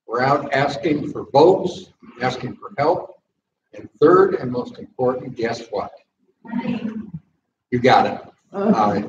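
A man's voice: medium pitch, background noise. Jokey older man, distant and echoey. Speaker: candidate for office. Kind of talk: campaign announcement. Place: large meeting room. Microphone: far camera, no mic. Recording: echoey room, distant and dull.

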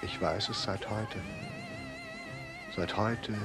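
An actor playing a lonely, probing old expatriate doctor in a German radio play, with deliberate delivery. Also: male voice, fairly low pitch, steady tone, over loud music. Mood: wistful. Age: older. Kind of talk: 1950s-70s German radio play acting.

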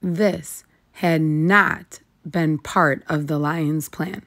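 Female children's storybook reader, slightly low pitch, very unhurried, emphatic on key words. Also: steady tone, fairly bright tone. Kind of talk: children's read-aloud narration.

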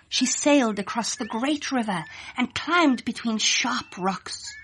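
A woman narrating a scripted children's story in an expressive read-aloud manner. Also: medium pitch, slight background noise.

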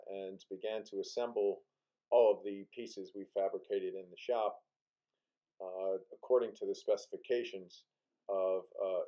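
A man in his thirties narrating a restoration project for his company in a steady, explanatory way, with many filler sounds. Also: sits low, rough recording.

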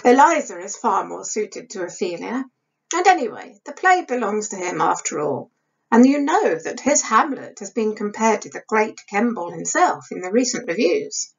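A woman's voice: medium pitch, sing-song, moderate pace, gravelly, slightly rough, exaggerated voice. A woman voicing a good-natured mature actress and wife, fondly chiding in period speech for an audiobook. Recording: dull lo-fi webcam sound.

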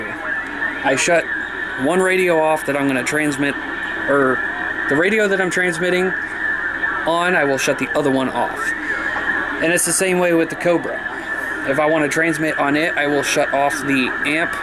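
A man's voice: fairly high pitch, steady tone, normal speed, pauses often. An American man in his thirties chatting casually to camera as a vlog host, laid-back and informal. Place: outdoors by a mobile home, later a small room indoors. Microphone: camera at arm's length. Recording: clear outdoor noise, handheld camera.

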